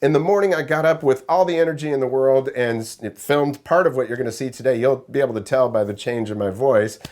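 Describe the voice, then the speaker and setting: A man with a raspy, hoarse voice, chatting casually in a rambling way as he reviews riding gear for his vlog. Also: medium pitch, fast, darkish tone. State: raspy, hoarse voice after illness.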